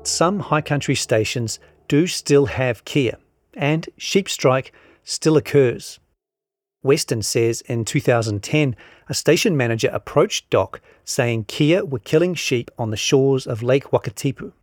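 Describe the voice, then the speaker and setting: A man's voice: medium pitch, animated, unhurried, no echo, studio quality. A man narrates a scripted long-form article, reading out both the reporting and the quotations.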